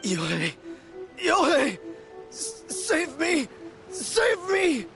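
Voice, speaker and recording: A man's voice: very high-pitched, huge pitch swings, drawn-out words, gravelly, fairly bright tone, faint music behind, heavily acted. An actor playing a vain young man meditating in a waterfall in a game, boasting about manliness. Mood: panicked. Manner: boastful, then frantic pleading. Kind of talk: game voice acting.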